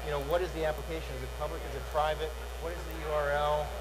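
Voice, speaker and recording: Male presenter, middle-aged, salesy conference pitch with "uh"s, slightly raised voice, noisy hall recording. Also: level tone, racing. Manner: salesy, repeats words, many "uh" fillers. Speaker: product demo presenter. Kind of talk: conference tech demo. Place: large dark conference hall, on stage.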